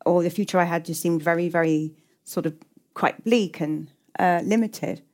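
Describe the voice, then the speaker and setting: A woman's voice: fairly low pitch, moderate pace, darkish tone. A middle-aged woman with an English accent giving reflective interview answers as a writer, hesitant and often repeating and restarting her words. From England.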